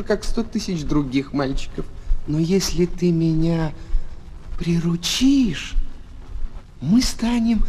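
A man playing a shy, gentle fox who longs for friendship, hesitant and tender, in a children's audio drama. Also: sits high, a little hiss or hum. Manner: hesitant, tender, expressive explaining. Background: music.

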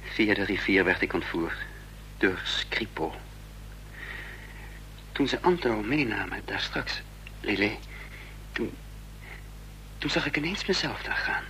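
An actor playing a tense young man in hiding, speaking and narrating haltingly in a Dutch radio drama. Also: on the low side, animated, pushed and bright, exaggerated voice. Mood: agitated. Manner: halting, deliberate. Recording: noisy, humming old radio recording.

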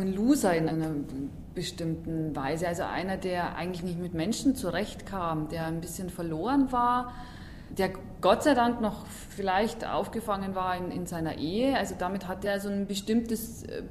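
Eine Frau, die in einem Interview frei und nachdenklich abwägend spricht. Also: female voice, on the low side, darkish tone. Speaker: bookseller, interviewee. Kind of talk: spontaneous interview talk. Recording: roomy, background ambient noise.

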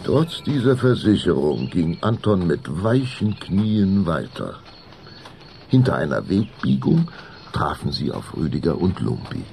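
A man narrating a scripted German children's radio play as its storyteller, with a gravelly voice. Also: sits low, sing-song, a little slow, dark tone, a little hiss or hum.

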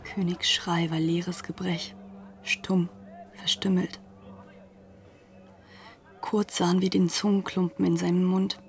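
A woman playing a school pupil who narrates a literary radio drama in a hushed, deliberate way. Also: fairly low pitch, little pitch movement. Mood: grave, uneasy. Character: former school pupil recounting events as narrator.

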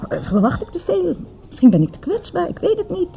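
Guarded suburbanite, self-deprecating, animated intonation, naturalistic radio play dialogue, faint background noise. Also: female voice, very high voice, a little fast, vocal fry, full character voice. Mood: confiding, vulnerable. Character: vulnerable, guarded suburban woman behind cool facade.

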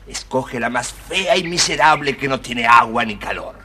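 An actor voicing a wise, helpful talking fox who gives courteous advice in a children's storybook recording. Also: male voice, mid-range voice, animated, normal speed, sharp tone. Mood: wise, advising. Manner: advising, courteous.

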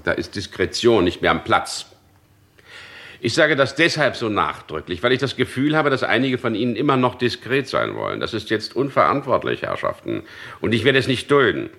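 Stern, low-voiced police inspector, very animated. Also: male voice, moderate pace, gravelly, full character voice. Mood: stern, explaining. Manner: formal, pointed, authoritative. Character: stern, insistent male police chief inspector questioning witnesses. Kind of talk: German radio crime drama acting.

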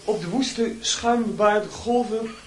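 A schoolboy in a radio play, high in voice. He sounds indignant and reluctant, as a pupil made to stand up and recite. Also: slow pace. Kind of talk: radio drama acting.

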